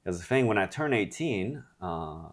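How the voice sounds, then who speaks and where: A man's voice: fairly low pitch, animated, moderate pace. A man from France, a personal trainer and gym founder, talking frankly and reflectively in a casual interview, with frequent hesitations.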